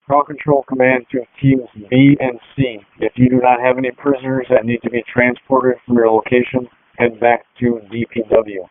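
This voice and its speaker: A man's voice: mid-range voice, little pitch movement, moderate pace, slight background noise. A man working as a police officer gives clipped procedural orders and replies over a two-way radio. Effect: two-way radio transmission. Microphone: two-way radio handset. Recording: tinny narrowband police radio.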